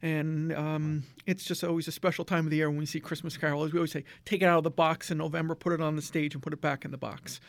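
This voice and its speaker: A man's voice: on the high side, level tone, quick pace, gravelly, hardly any pauses, no echo. A man from New Jersey, a community theater volunteer, talking casually in an interview, rambling with lots of fillers and restarts.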